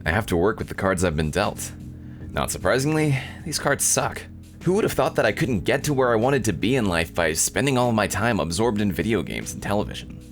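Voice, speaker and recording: A man's voice: lowish voice, very animated, fairly quick, faint music behind. A man voicing an angsty, self-pitying teenage schoolboy, the overwrought hero of a visual novel. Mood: gloomy, dramatic. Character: angsty, melodramatic teenage anime schoolboy protagonist. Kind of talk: visual novel read-aloud.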